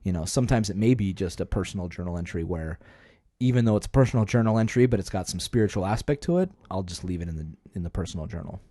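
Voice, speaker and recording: Guy cohosting a podcast, lowish mellow voice, super dry close recording, just casually explaining stuff. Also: animated, quick pace, no room sound. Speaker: podcast co-host. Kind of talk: casual chat.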